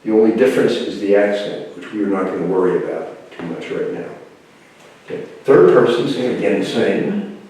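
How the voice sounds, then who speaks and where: Older male lecturer, patient and halting, low mellow voice, recorded from across an echoey classroom. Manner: explains slowly, with pauses and restarts. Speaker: Greek lecturer. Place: university room with a whiteboard. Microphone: camera mic from across the room. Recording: echoey room, voice from far off.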